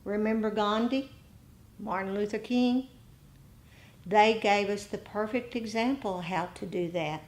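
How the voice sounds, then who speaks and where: A woman meditation teacher giving a dharma talk to a group, speaking deliberately in short phrases with rhetorical questions. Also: mid-range voice.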